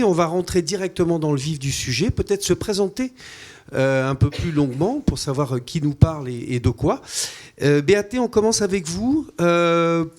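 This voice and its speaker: Higher-pitched male panel moderator, conversational. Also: darkish tone. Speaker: round-table moderator. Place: on stage at a conference. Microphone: stage microphone.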